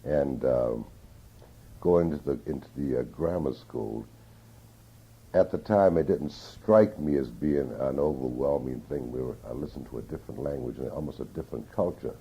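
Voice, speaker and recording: Gravelly low-voiced older man reminiscing. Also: warm tone. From Newton, Massachusetts. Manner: slow, reflective, with many hesitations. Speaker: oral history interviewee. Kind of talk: reminiscing in an interview. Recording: dull lo-fi 1981 tape.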